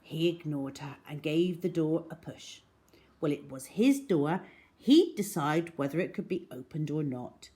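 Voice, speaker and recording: A woman storyteller reading a children's story aloud in an expressive, dramatic way. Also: sits low, a little slow. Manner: dramatic, animated.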